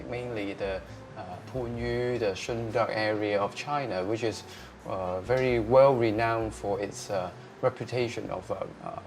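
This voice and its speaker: A young man, answering interview questions as a restaurateur, pausing often with filler sounds. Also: fairly low pitch, slight background noise. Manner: hesitant, many filler sounds.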